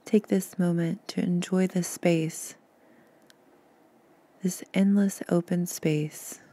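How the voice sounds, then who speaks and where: A woman guiding a meditation in a soft, soothing, gentle voice, breathy at times, with unhurried pauses. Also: lowish voice. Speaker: podcast host and meditation guide. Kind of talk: guided meditation narration.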